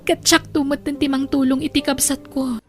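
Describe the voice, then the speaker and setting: A young woman with an Ilocano accent playing an earnest, sentimental daughter in an audio drama, heartfelt and expressive. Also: high voice, normal speed, close to an everyday voice. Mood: grateful. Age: young adult.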